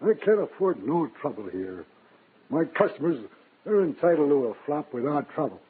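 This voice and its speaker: An actor playing a wary, grumbling flophouse clerk in a 1950s radio drama, rough-voiced and talking in uneducated street slang. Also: male voice, fairly high pitch, sing-song, moderate pace, full character voice. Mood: grumbling, placating. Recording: narrow, lo-fi old radio recording.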